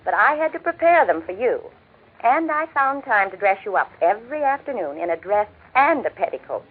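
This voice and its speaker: An old-fashioned middle-aged mother from a 1940s radio drama, fussing and chatting in a slightly husky voice. Her pitch moves freely up and down. The recording is thin and tinny, like old radio. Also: sing-song, fairly quick. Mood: chatty, nostalgic.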